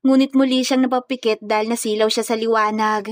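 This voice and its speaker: A woman's voice: a little high, steady tone, a little fast, no echo, clean studio sound. A woman reading scripted dramatic story narration as a storyteller.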